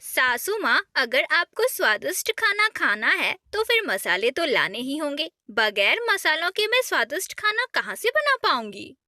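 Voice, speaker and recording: A woman's voice: sits high, very animated, normal speed, few pauses, sharp tone, no echo, studio quality, exaggerated voice. A woman doing a bossy cartoon bride bird. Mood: demanding. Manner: sweetly demanding, bossy. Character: cunning bossy young female bird, new bride.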